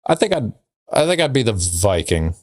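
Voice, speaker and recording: Male podcast co-host, lower register, wry and easygoing, casual joke-telling chat. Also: big pitch swings, normal speed, darkish tone.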